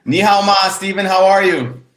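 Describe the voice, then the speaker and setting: A man's voice: high-pitched, normal speed, a bit bright. Man hosting, in an echoey room. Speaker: show host. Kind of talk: video interview show hosting. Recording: roomy, echoing sound.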